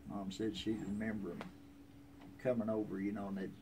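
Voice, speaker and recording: An older man in his seventies reminisces in a creaky, fairly low voice with a rural American accent. He is folksy and plainspoken, talking casually outdoors with faint ambient noise around him. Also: level tone, brisk, mellow. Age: 70s. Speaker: interviewee telling family history. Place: outdoors on a covered wooden porch. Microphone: handheld phone a few feet away.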